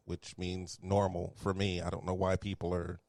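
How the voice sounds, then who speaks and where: A man from Houston hosts a loose, casual podcast, laid-back and quick to laugh at his own jokes. His voice sits on the low side. It is recorded close and dry, with no room sound. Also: completely flat delivery, a little mellow, no echo. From Houston, Texas. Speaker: podcast host. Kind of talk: casual chat.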